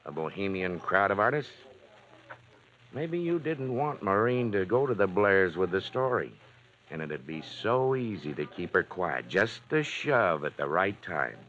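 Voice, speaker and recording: A man's voice: low voice, very animated, a little slow, fairly bright tone, full character voice. An actor playing a male police detective in an old radio drama, probing and casually menacing. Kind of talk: 1940s radio acting. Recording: dull old radio recording.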